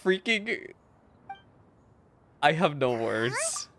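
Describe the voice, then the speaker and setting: A man's voice: on the high side, huge pitch swings, very slow, noisy background. A man giving casual, slangy reaction commentary on a game, emotive and exclamatory. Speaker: game streamer reacting to cutscenes.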